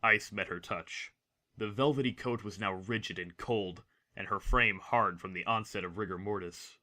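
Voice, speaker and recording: A male narrator with a fairly low, crisp bright voice. He reads a scripted story in a dramatic storytelling style. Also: normal speed.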